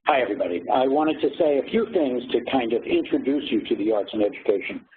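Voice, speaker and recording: A man's voice: medium pitch, lively intonation, fast. Man presenting over tinny, phone-like audio. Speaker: faculty director of a graduate program. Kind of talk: informational presentation. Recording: phone-like lo-fi audio with faint noise.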